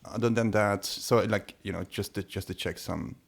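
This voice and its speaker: A man whose first language is Hungarian, talking casually on a podcast with many pauses and restarts. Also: fairly low pitch, steady tone, creaky, husky. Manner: hesitant, many restarts and fillers. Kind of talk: casual conversation.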